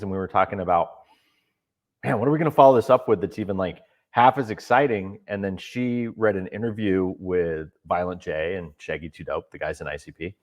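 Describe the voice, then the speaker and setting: A man, a documentary filmmaker, answering interview questions in a relaxed storytelling way, his throat a little scratchy. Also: sits low. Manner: relaxed storytelling, some fillers.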